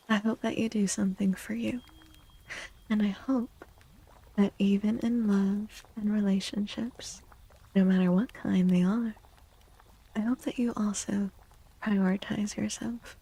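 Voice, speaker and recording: Soft, breathy, slow-spoken woman. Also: medium pitch, low-quality recording. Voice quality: soft, breathy, half-whispered. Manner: gentle, slow, deliberate with pauses. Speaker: livestream host and tarot reader. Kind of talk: soft-spoken ASMR tarot reading.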